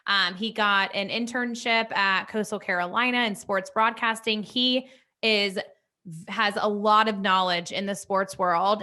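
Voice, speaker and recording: A woman's voice: medium pitch, pushed and bright. A woman of thirty-one co-hosting a sports podcast, talking casually in a chatty, enthusiastic way.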